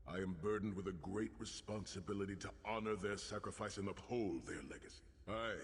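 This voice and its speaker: A man's voice: low voice, natural voice. An actor playing a grave, honorable swordsman burdened by guilt, speaking formally in a fantasy game. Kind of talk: scripted fantasy game dialogue. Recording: long reverb, noisy game capture.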